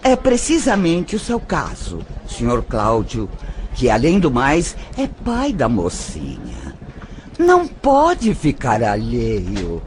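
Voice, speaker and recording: Guy doing a slow brazilian brothel madam, oily flattery, really lilting, bit of noise. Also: medium pitch. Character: calculating, flattering madam of a night house. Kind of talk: audio drama acting.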